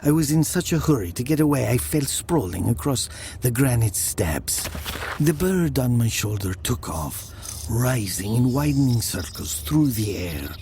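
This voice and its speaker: An actor playing a brooding murderer who narrates his own dark fable in a measured, dramatic manner. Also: medium pitch, very animated, slight background noise. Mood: somber, haunted. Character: brooding male village murderer recounting his dark tale.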